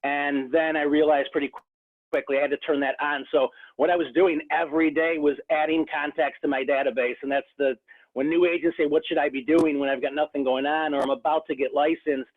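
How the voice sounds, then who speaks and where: A real estate agent from the Rochester, New York area, talking earnestly in a casual interview, with many restarts. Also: male voice, on the high side, fairly even intonation, fairly quick. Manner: earnest, frequent restarts and fillers. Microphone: phone. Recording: tinny phone line.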